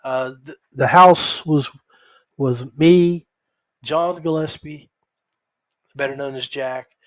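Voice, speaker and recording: A man hosting a podcast, giving a casual, unscripted recap in a halting, folksy, conversational way. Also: a little high. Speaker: podcast host.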